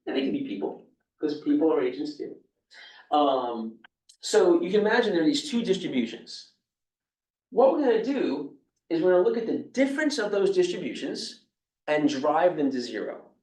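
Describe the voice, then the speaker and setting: New York man, slightly high voice, casual seminar speaker; recorded off-mic in a roomy space. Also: big pitch swings, normal speed, darkish tone. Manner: loose and conversational, with many fillers and restarts. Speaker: lecturer. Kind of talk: academic seminar talk. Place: seminar room. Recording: echoey room, speaker far from the mic.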